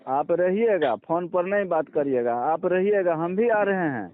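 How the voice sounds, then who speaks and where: A man's voice: sits high, expressive, measured pace. A man with a Bihari accent explaining himself on a phone call in a defensive, placating way, often repeating his phrases. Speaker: farm advisory field official. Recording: tinny, band-limited phone call recording.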